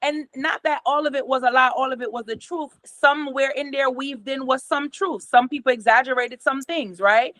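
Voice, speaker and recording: A middle-aged woman with a patois accent talks on a video call from a small room at home, her fairly high voice sounding dry and close. She explains things in a steady, teaching way, with patois as her first language showing through her English. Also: moderate pace, few pauses, no room sound. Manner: explanatory, steady, gestures a lot with her hands. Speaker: host. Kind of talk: casual conversation explaining therapy methods. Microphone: video call from a computer camera.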